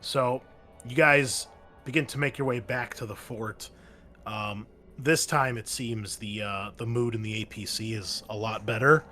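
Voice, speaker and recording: A man narrating as game master, relaxed and full of ums and uhs. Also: mid-range voice, measured pace, soft background music. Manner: relaxed narration with many fillers.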